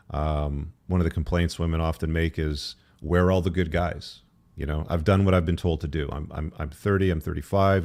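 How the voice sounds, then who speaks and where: A man's voice: extremely low pitch, darkish tone. A man speaking as an interview guest, explaining his opinions in a conversational, opinionated way. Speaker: podcast guest, author.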